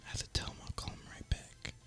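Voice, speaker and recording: A young man softly whispering ASMR gameplay commentary in a breathy, casual, rambling way. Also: quick pace. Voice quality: soft breathy unvoiced whisper. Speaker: gaming ASMR YouTuber.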